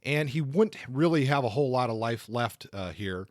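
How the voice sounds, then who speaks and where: A man's voice: medium pitch, lively intonation, normal speed, no room sound, studio quality. A man co-hosting a podcast, talking through a literary biography from notes in an informal, conversational way. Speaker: podcast co-host. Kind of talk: semi-scripted literary biography talk.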